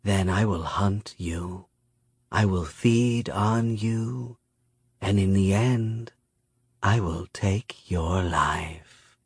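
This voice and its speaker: A cold, contemptuous female spirit acted out by a man, purring her threats at a very slow, drawn-out pace. The storybook villain sounds predatory and mocking, recorded close and dry. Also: low-pitched, breathy edge, no room sound, heavily acted. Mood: cruel, predatory.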